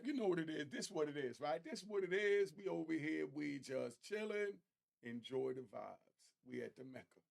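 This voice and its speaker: A man's voice: on the high side, gravelly. A man hosting an online show, giving casual, opinionated commentary in conversational street slang.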